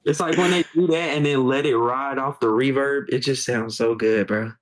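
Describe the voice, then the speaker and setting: A man's voice: mid-range voice, steady tone, moderate pace. A man in his twenties, a musician guest chatting casually on a podcast, laid-back and full of slang. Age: 20s. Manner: laid-back slang, says bro a lot.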